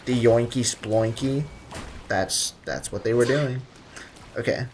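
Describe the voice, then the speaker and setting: A man's voice: medium pitch, slow, a little mellow, slight background noise. A man live streaming a video game, chatting casually with viewers in a slangy, joking, rambling way.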